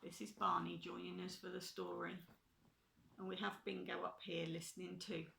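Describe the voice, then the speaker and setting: A woman's voice: on the low side, flat delivery, moderate pace, vocal fry, a bit bright, a little hiss or hum. An older woman, a grandmother reading a children's story aloud with chatty asides. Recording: echoey room.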